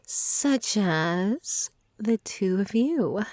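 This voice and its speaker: A woman's voice: mid-range voice, expressive, drawn-out words, a little mellow, dry recording, clean studio sound. A woman playing a confident, flirtatious forest nymph in a fantasy audio drama, coaxing and sultry.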